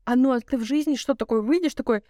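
Fast-talking young woman, casual podcast chat. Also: sits high, warm tone, dry recording, clean studio sound. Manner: rapid, filler-heavy slangy talk. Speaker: podcast co-host.

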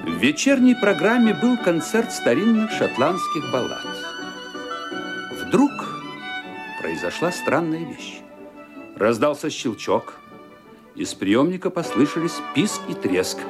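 Very animated male radio-play narrator. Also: fairly high pitch. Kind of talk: scripted dramatic storytelling.

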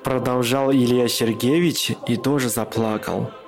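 A man's voice: medium pitch, steady tone, a little slow, soft background music. A man who is a native Chinese speaker, reading scripted narration for a radio play.

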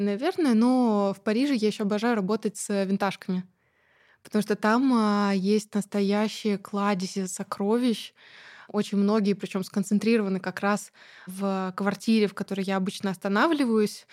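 A woman's voice: mid-range voice, normal speed. A woman with a mid-range voice talks at length as an interview guest. She speaks chattily and freely, with frequent fillers and easy laughs. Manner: chatty and animated, with many fillers and light laughs. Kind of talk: casual conversational interview.

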